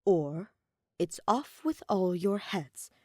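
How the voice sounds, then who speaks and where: A woman's voice: fairly low pitch, lively intonation, takes it slowly, darkish tone, dry recording, clean studio sound. A woman voicing a doting but menacing royal husband in a fantasy story, soothing and authoritative. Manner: soothing, pretentious.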